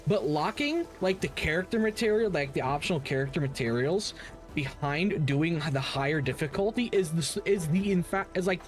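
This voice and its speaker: A man doing casual live game stream commentary in a blunt, opinionated manner. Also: fairly high pitch. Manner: blunt, opinionated, heavy swearing.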